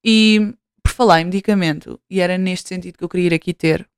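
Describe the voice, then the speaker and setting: A woman's voice: fairly low pitch, fairly even intonation, fairly quick, clear, resonant tone, dry recording, studio quality. A woman in her twenties with a European Portuguese accent, hosting a podcast in casual chat, rambling, swearing freely and mocking herself. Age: 20s. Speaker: podcast host.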